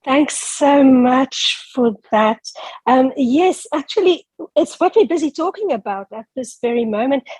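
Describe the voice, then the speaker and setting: A woman's voice: high voice, lively intonation, unhurried, a little mellow, slightly rough, no echo, rough recording. A woman with a South African accent asking a careful, earnest question on a webinar. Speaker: audience member asking a question.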